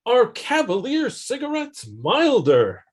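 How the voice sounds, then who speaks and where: A man voicing a 1950s radio advertisement announcer with an emphatic sales pitch. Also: wildly swooping pitch, takes it slowly, husky, exaggerated voice. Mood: salesy.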